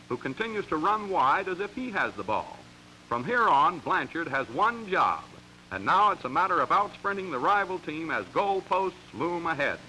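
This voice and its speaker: A man's voice: fairly high pitch, pushed and bright, slight background noise, low-quality recording. An American man reading scripted narration for a 1940s sports training film in an emphatic, instructional way, on a vintage soundtrack. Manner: crisp, emphatic, instructional delivery.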